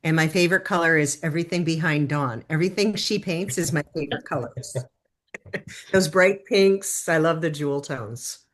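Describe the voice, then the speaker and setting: A conversational American woman teaches a workshop over a video call, speaking in a slightly low register. She chats easily and keeps turning questions back to her listeners. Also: normal speed. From Detroit area, United States. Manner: chatty, asks the audience questions. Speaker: workshop leader and business consultant. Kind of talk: conversational online workshop talk.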